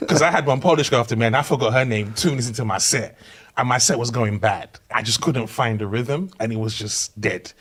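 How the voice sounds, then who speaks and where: A young man with a London accent, a comedian guest telling stories in a relaxed podcast chat, animated and laughing through his punchlines. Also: medium pitch, fairly quick. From Hackney, East London. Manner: animated storyteller who laughs through punchlines and acts out quoted voices. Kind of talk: casual chat, anecdotal storytelling.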